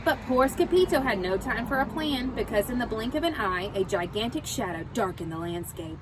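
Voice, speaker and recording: Over clear background noise, a woman with a fairly high voice reads a children's storybook aloud. Her delivery is lively and dramatic, swinging up and down as she tells the tale and sprinkles in Spanish words. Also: a little fast. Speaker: storybook reader. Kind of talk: animated children's read-aloud.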